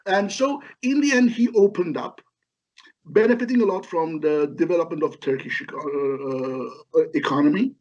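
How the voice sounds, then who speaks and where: A middle-aged man from Lebanon giving halting, explanatory answers as an academic expert in an interview. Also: a little high, a little mellow. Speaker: history professor, interview guest. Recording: dull, lo-fi call audio.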